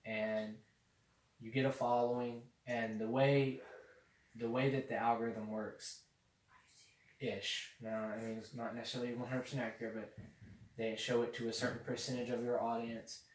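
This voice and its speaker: A young man hosting a podcast in casual chat, rambling with many fillers and getting excited about social media. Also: mid-range voice, moderate pace. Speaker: podcast host. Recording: echoey room, mic at a distance, faint noise.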